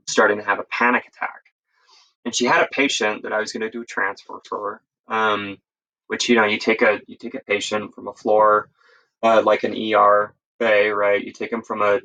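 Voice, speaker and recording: A man casually telling work stories as a guest, in a halting, informal way and often correcting himself. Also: on the low side, creaky, bright, forceful tone, rough recording. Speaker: guest, emergency medical worker sharing stories. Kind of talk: casual storytelling.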